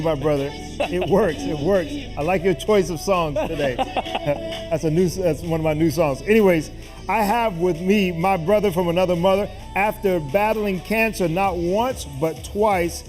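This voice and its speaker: Man, TV host, energetic, encouraging, laughs a lot, slightly high voice, music in background. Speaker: TV show host. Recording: music playing behind.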